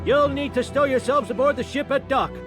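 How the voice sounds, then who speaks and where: A crotchety old cartoon grandpa. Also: male voice, very high voice, brisk, music in the background. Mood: barking orders. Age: older. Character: cranky old grandpa barking war orders. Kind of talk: cartoon game cutscene.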